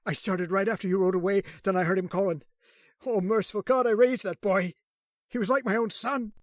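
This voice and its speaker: Man playing a fatherly police sergeant, high-voiced. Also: steady tone, fairly quick, dry recording, clean studio sound, lightly acted. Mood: shocked, grieving. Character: Irish police sergeant, older fatherly uncle. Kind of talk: old-time radio drama acting.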